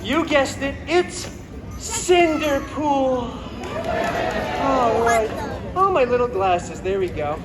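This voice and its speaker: A man's voice: very high-pitched, animated, takes it slowly, heavy background noise, heavily acted. A man playing a wisecracking, self-loving masked antihero who tells a comic bedtime story to a crowd with theatrical, sarcastic asides. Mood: playful, showy. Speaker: live stage show storyteller. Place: outdoor theme park stage. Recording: outdoor theme park show, music and crowd behind.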